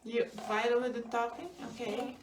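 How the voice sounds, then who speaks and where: A woman's voice: a little high, steady tone, moderate pace. A woman talking casually with a child, prompting and asking simple questions as a helper. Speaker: adult helper prompting a child's puppet show. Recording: roomy, distant mic, faint noise.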